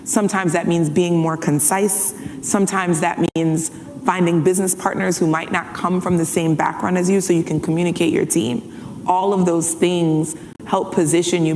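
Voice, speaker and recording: Woman on a panel, lowish voice, steady repeated phrases, hall reverb. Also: slight background noise. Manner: measured, builds points in repeated phrases. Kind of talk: panel discussion. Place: large conference hall stage. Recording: reverberant hall with faint ambience.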